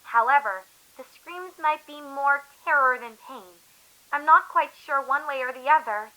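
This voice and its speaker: Woman acting as young female pony scholar, high-pitched, sharp bright tone, coldly curious, falsely sweet, unhinged, audio drama. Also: unhurried, poor audio. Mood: clinical, detached. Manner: clinical, precise, falsely sweet. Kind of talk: dramatic fan fiction audio reading.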